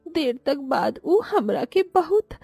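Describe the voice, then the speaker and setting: A woman's voice: high-pitched, very animated, slow, creaky, warm tone, dry recording, full character voice. A woman voicing a meek, naive young village daughter-in-law in a cartoon, pleading humbly with a Bhojpuri accent. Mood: sad, hurt. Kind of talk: cartoon moral story voice acting.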